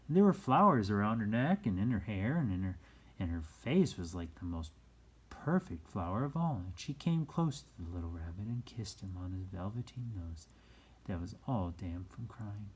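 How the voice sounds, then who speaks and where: A storyteller reading aloud for children in a soft-edged, husky voice. The pitch moves in a lively way, dropping into hushed moments and rising for dramatic emphasis. The tone stays mellow. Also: normal speed. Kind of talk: expressive read-aloud storytelling.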